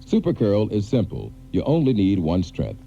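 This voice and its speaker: A male announcer runs through a radio commercial, confidently ticking off selling points. His low voice is dark and swoops lively up and down. It all sounds dull and muffled, like old tape. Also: normal speed, vocal fry, faint music behind.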